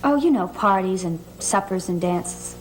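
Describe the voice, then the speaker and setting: A woman's voice: medium pitch, animated, measured pace, slight background noise, natural voice, low-quality recording. An actress playing an otherworldly, self-possessed young woman in a gothic television soap, with a cool, enigmatic manner. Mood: aloof, evasive. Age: young adult. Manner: cool, enigmatic, declining politely. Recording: old TV soundtrack with organ music.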